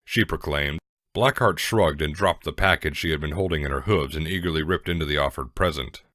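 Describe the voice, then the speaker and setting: A male narrator with a remarkably deep voice reads scripted storybook prose. The recording is dry, with no room sound around him. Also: fairly quick, fairly bright tone, no room sound.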